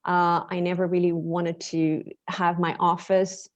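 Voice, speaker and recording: A woman's voice: on the low side, flat delivery, unhurried, dull audio. A woman whose first language is Portuguese, a guest in an interview. She talks in a chatty way over a video call, often restarting her sentences and breaking into short laughs. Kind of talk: casual interview chat. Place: home with a lake view.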